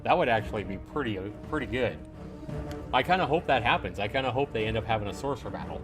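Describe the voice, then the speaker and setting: A man's voice: mid-range voice, lively intonation, fast. A man streaming a live game playthrough, commentating emphatically and repeating his phrases for emphasis. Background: game music.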